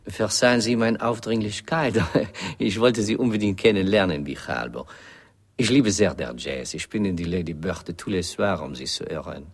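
An actor playing an oily, intrusive Haitian crook in a radio drama, effusive and affected, with a French accent and broken German. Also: male voice, low-pitched, normal speed, breathy edge. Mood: gushing, oily.

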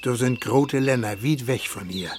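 An actor playing a warm-hearted, humble older fisherman in a radio play, speaking emotional North German dialect. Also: medium pitch, normal speed, slight background noise, lightly acted. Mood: determined, hopeful. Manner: rustic dialect speech, emotional.

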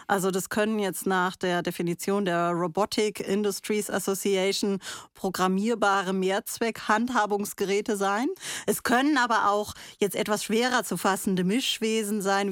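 A woman's voice: medium pitch, dry recording, studio quality. A woman hosting a radio talk in a conversational style, articulate and often questioning. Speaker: radio host.

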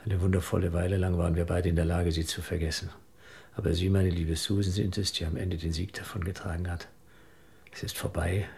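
Low, gravelly, weary husband. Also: male voice, darkish tone, exaggerated voice. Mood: bitter, subdued. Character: accused husband, weary, defensive, pleading with his wife. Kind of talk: German radio drama acting.

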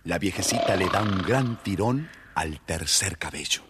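A man with a husky voice, narrating a scripted children's story. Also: low voice, expressive, measured pace, very noisy.

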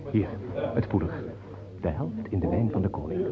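An actor playing an obsequious, scheming viscount at a royal court in a theatrical radio play. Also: male voice, fairly low pitch, sing-song, normal speed, over a noisy crowd. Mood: scheming, conspiratorial. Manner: servile and hesitant, conspiratorial among accomplices. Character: obsequious, scheming courtier viscount plotting against his king. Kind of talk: theatrical radio drama acting. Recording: dull old radio recording.

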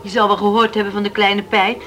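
A woman's voice: medium pitch, level tone, fairly quick, a bit bright, close to an everyday voice. An actress in a Dutch radio play playing a caring, matter-of-fact island farm woman, plain-spoken and motherly. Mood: worried, resigned. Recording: noisy radio drama with wind and surf.

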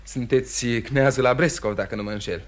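An actor playing a courteous, composed lawyer in a radio play, explaining things in a measured, polite way. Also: male voice, medium pitch, natural voice. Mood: composed, informative. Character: composed, courteous male lawyer commenting on a trial. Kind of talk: mid-century radio theatre acting.

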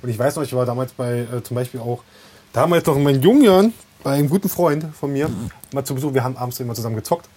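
A man co-hosting a podcast, telling casual anecdotes in an animated, conversational way. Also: mid-range voice, rapid-fire, husky. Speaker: podcast co-host. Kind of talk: casual chat, animated storytelling.

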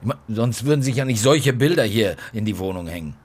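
A man's voice: fairly low pitch, lively intonation, fairly quick, darkish tone. An actor playing a blunt, sardonic male police detective in a radio drama, weary but pressing with pointed questions. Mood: insistent. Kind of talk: German radio drama acting, naturalistic dialogue. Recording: music behind the dialogue.

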